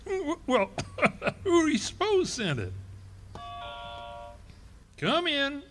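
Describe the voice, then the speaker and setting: A boastful middle-aged husband who blusters and stammers through his jokes, his voice swinging widely in pitch, as an actor plays him. A faint hum of the room sits behind him on this live stage radio play. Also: wildly swooping pitch, takes it slowly, full character voice. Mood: blustering.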